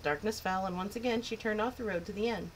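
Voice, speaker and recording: Woman storyteller, lilting read-aloud for kids, middle pitch, faint hiss behind. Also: fast, rough recording. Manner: expressive, lilting narration. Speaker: children's storyteller.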